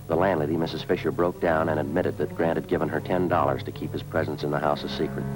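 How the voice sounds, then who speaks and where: Police sergeant, American, deep, rapid clipped delivery, businesslike, old radio drama with music. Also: male voice, rough recording. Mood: grave, factual. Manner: clipped, matter-of-fact. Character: terse, businesslike police sergeant narrating his case. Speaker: lead actor and narrator. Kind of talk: 1940s-50s radio drama, clipped procedural narration.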